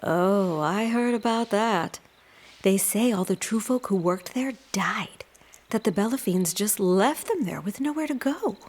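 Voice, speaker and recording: A curious woman drawn into a tale in an audio drama. Her voice is hushed and of medium pitch. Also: lively intonation, unhurried. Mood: hushed, shocked.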